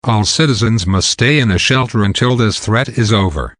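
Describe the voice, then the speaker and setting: A man's voice: lowish voice, normal speed, fairly bright tone, no echo, studio quality, close to an everyday voice. A man playing a stern, formal announcer reading a scripted emergency broadcast warning.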